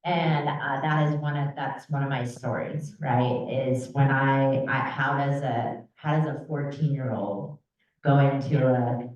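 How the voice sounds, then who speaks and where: Woman, low register, informal advocate-speaker, conversational, seeks agreement often; distant, muffled pickup. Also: fairly even intonation, measured pace, darkish tone. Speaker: trafficking survivor advocate and speaker. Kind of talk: informal panel talk with personal story. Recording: muffled, echoey room, far from mic.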